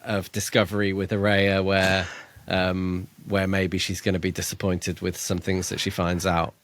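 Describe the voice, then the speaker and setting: A man co-hosting a podcast, talking casually about a book in a measured way, often restarting as he finds his point. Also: low-pitched, monotone, fairly bright tone, dry recording. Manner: measured, restarts as he gathers thoughts. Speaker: podcast co-host.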